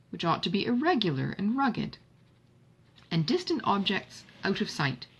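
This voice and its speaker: A woman plays a plain-speaking young gentleman from a Regency novel. The voice is slightly husky and on the low side for a woman, with formal, measured diction and emphatic lists. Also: exaggerated voice. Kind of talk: audiobook character dialogue.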